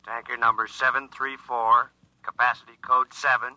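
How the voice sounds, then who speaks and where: A male radio operator reading out shipping codes over a scrambled transmission. The delivery is a dead-level monotone with a sharp, bright edge, and the sound is tinny and lo-fi. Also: mid-range voice, normal speed. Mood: flat.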